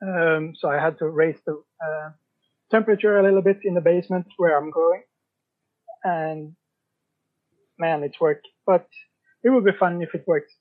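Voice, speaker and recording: Male guest, high voice, halting casual talk with mid-sentence pauses, muffled lo-fi recording. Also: steady tone. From Sweden. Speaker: podcast guest, writer. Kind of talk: casual interview chat.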